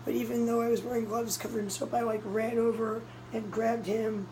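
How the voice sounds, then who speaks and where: Hoarse young woman vlogging. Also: on the high side, flat delivery, fairly quick, mellow, slight background noise, rough recording. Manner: breathless, urgent retelling of a scare. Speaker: cat vlogger. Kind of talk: casual vlog storytelling. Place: small red-walled room indoors. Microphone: phone camera held close, low angle.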